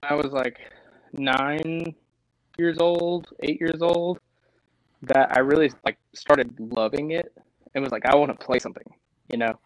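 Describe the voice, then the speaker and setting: A young man from Tennessee, a lead singer telling stories in a casual interview, animated and laughing often. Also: on the high side, a little slow, pauses often, dry recording, rough recording.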